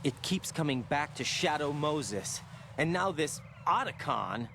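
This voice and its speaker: A man's voice: fairly high pitch, expressive, measured pace, gravelly, fairly bright tone, exaggerated voice. An actor playing an earnest young male soldier in video game dialogue, searching for words as he questions his orders. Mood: demanding. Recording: noisy game audio with vehicle-like ambience.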